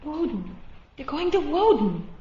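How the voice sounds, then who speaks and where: A woman's voice: sits high, sing-song, a little slow. An actress playing a cheerful, curious young female space traveler in a radio drama, breezy and eager. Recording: echoey, slightly dull radio drama sound.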